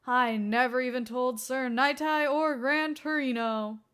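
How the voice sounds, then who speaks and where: A woman's voice: high voice, fairly even intonation, a little slow, fairly bright tone, clean tone. A woman voicing a mischievous middle-aged male superhero who plays the gleeful villain, boastful and theatrical in an audiobook-style story.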